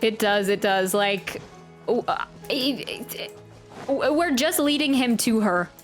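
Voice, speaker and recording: A woman with a slightly high voice gives a casual gameplay reaction. She rambles, stammers and thinks aloud, with faint game music behind her. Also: unhurried. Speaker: game streamer.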